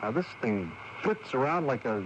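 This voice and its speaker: Man acting lively, puzzled film cameraman. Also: mid-range voice, normal speed, natural voice. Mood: baffled. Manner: hesitant, plain-spoken, repeats himself. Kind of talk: 1980s radio drama acting. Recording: dull, noisy 1980s radio taping.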